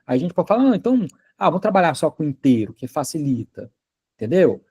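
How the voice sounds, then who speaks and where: A man's voice: fairly high pitch, sing-song, fast, mellow, slightly rough. A man teaching a university class in a casual, chatty way, often checking that students are following along. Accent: Brazilian Portuguese. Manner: chatty, asks students if they follow, many fillers. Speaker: university lecturer. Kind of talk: informal classroom lecture.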